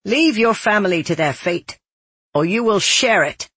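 A narrator, a woman, puts on a world-weary older woman. She speaks firmly and deliberately, like someone handing down a grim warning in a storybook. The sound is close and dry. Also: medium pitch, expressive, a bit bright, breathy edge, no echo, voice pushed up. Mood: grave, urging. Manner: grave, firm, deliberate. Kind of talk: dramatic audiobook character acting.

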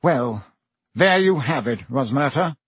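A man voicing a pompous, anxious middle-aged male minister, fussy and earnest, with a husky voice. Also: a little high, big pitch swings, a little slow, a bit bright, dry recording, pitched above the performer's own voice, full character voice.

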